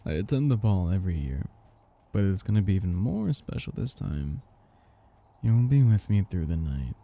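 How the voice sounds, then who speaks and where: A man voicing a possessive, teasing vampire master in an intimate roleplay ASMR, with a slow, condescendingly affectionate manner and breathy delivery. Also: very animated, heavily acted. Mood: smug, anticipating. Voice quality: breathy, low, dark.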